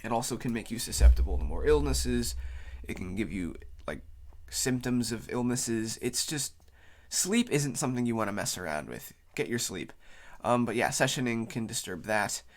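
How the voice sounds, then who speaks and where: A man's voice: medium pitch, animated, moderate pace. A man with a cold, sounding tired, gives a casual unscripted explainer as a video host, rambling loosely as he goes. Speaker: YouTube host.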